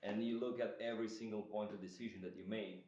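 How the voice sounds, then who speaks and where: A deep-voiced man, to that language, as you'd hear hosting a relaxed interview in a bare, echoing back room. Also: fast. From Croatia. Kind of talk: casual conversational interview. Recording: echoey room, dull lo-fi audio.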